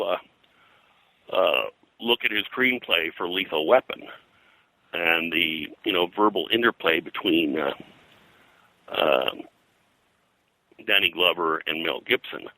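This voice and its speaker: An older man talking as an interview guest, explaining things in detail with a halting, conversational delivery. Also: sits low, sharp tone, dry recording, rough recording. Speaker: interview guest, pop culture historian. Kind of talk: conversational interview, recollection and commentary.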